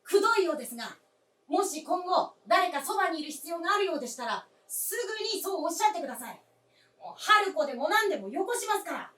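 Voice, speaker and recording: Echoey far-off stage sound, woman playing earnest grown son, polite but persistent, really animated radio play voice. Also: very high voice, fast, heavily acted. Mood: polite, resigned. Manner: polite, insistent, formal phrasing. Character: earnest, persistent adult son pleading with elderly father. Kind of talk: live radio drama acting. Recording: roomy stage recording from afar.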